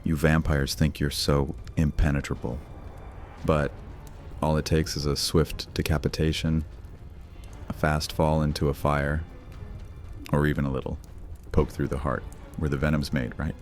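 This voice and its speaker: Man playing a very deep, mocking gladiator vampire. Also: level tone, normal speed, soft background music. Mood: sneering contempt. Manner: taunting, drawling, sneering. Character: mocking, cocky immortal vampire gladiator, male. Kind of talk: dramatic audiobook roleplay.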